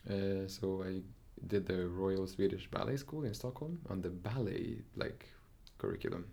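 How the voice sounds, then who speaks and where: A young man from Norway talks in a low voice with a gravelly fry. He speaks thoughtfully and hesitantly, full of fillers and self-corrections, as he tells his story in a relaxed interview. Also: moderate pace. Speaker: dancer, interview guest. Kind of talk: casual interview talk.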